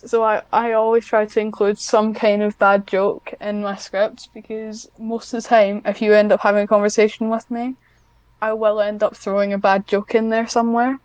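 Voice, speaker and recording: A woman's voice: medium pitch, little pitch movement, moderate pace, a little mellow, clean tone, no echo, dull audio. A woman chatting casually as a podcast guest, hesitant and self-deprecating, with a dry sarcastic streak. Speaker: podcast guest, ASMR script writer. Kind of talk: casual interview chat.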